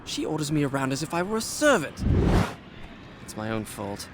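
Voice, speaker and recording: A man's voice: on the high side, big pitch swings, moderate pace, heavy background noise. An actor playing a proud, sardonic young Persian prince, telling his adventure in eloquent, formal, dramatic game dialogue. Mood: sardonic, indignant. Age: young adult. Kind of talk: dramatic video game voice acting and storytelling. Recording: music behind.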